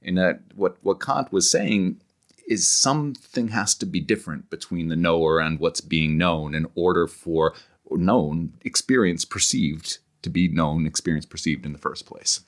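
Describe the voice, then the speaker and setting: A man speaking as an interview guest, explaining ideas conversationally in a halting, searching, scholarly way with frequent self-corrections. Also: deep voice. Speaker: guest author.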